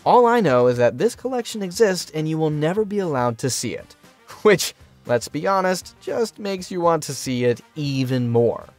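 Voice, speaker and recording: A man's voice: a little high, sing-song, moderate pace, a little mellow. A man reading a scripted countdown narration with wry, punchy, sarcastic asides. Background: faint background music.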